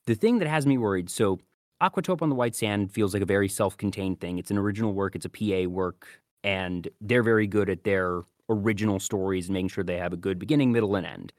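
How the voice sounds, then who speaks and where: Male podcast host, lowish register, casual anime commentary, dry sound. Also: fast talker, no echo.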